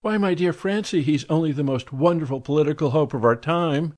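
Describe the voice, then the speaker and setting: A man's voice: a little high, a little fast, darkish tone, voice pushed up, natural voice. A man voicing a pushy, gushing society woman who does volunteer work, talking in a breathless, fawning, emphatic way.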